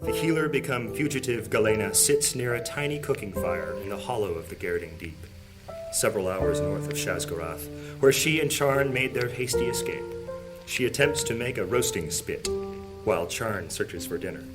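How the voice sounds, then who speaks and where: A man's voice: on the low side, expressive, moderate pace, over loud music, close to an everyday voice. A man narrating a fantasy audio drama in a grand, scripted storytelling manner.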